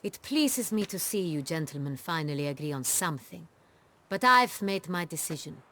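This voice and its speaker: An actress playing a proud, strong-willed duchess in a fantasy game, speaking in a formal, commanding, regal manner. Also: female voice, low voice, lively intonation, normal speed, sharp tone.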